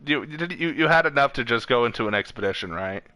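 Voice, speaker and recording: A man's voice: mid-range voice, animated, quick pace, bright, forceful tone, clean tone, no room sound. A man streaming a video game and chatting casually with a friend in a rambling, sweary way. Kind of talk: casual gaming chat with a friend over voice chat.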